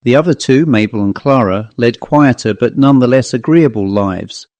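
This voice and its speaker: A man reading a classic ghost story as an audiobook narrator, in a measured, literary manner. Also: medium pitch, darkish tone, no room sound, lo-fi sound.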